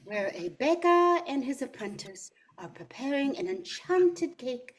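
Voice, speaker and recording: A woman as a high-voiced storytelling minstrel. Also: sing-song, measured pace, heavily acted. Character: female minstrel narrating a fairy-tale comedy. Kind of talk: scripted storybook narration.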